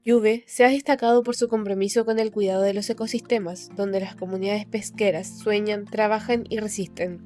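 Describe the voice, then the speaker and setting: A young woman hosts a podcast and reads a scripted introduction in an earnest, steady way, posing rhetorical questions. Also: mid-range voice, moderate pace, few pauses, soft background music. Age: young adult. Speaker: podcast host. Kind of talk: scripted narration.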